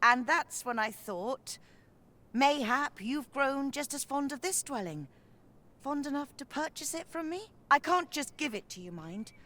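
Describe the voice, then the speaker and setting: A friendly fantasy-game townswoman, chatting familiarly in old-world phrases. Her voice is high and her manner talkative. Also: expressive, a little slow, pushed and bright, full character voice. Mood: cheerful, chatty.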